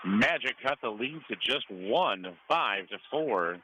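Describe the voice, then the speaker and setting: Guy doing radio volleyball play by play, short clipped calls, normal pitched voice, lots of gym noise behind him. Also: expressive, slow pace, pushed and bright. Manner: clipped running calls with brief asides. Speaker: radio play-by-play sports announcer. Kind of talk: live volleyball commentary. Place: courtside at the end of a gym during a match. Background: noisy gym ambience.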